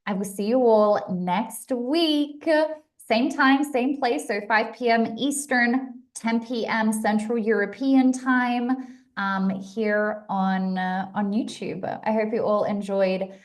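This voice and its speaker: A woman's voice: a little high, steady tone, takes it slowly. A young woman from Australia with a mixed Australian and American accent, chatting upbeat with viewers on her live stream, full of fillers and restarts.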